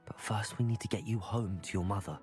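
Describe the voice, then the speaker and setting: A woman playing a calm, caring young British student witch in a video game, polite and measured. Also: very deep voice. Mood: calm, sympathetic. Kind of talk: video game character dialogue. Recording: music behind the voice.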